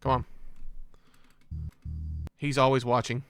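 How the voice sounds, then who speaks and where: A man's voice: mid-range voice, level tone, slow, smooth. Man reacting aloud over music. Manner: slangy, exclamatory, thinking aloud. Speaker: reaction video host. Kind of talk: casual reaction commentary, reading on-screen text aloud. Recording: music playing behind the voice.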